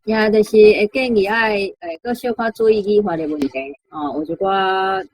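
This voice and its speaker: A woman's voice: mid-range voice, normal speed, a little mellow, no room sound, rough recording. A woman with a Taiwanese accent commenting as a language teacher, explaining things in a hesitant, think-aloud way. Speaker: Taiwanese Hokkien language teacher giving critique. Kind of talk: spoken commentary on word choice and grammar.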